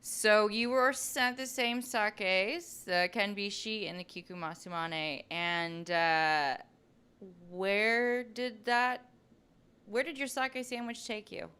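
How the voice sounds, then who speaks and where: Bright, smooth-voiced woman host. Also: medium pitch, fairly even intonation, slow pace. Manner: playful, laughing, quotes others. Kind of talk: casual hosted chat.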